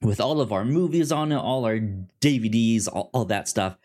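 A man co-hosting a movie podcast in a casual, chatty way, quick to laugh. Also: medium pitch, expressive, darkish tone. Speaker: podcast co-host.

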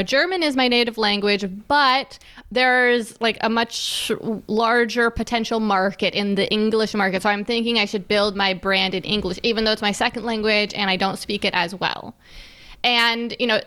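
Chatty woman podcast host. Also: a little high. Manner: chatty, laughs easily, acts out quotes in a lively voice. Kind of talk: casual conversational podcast chat.

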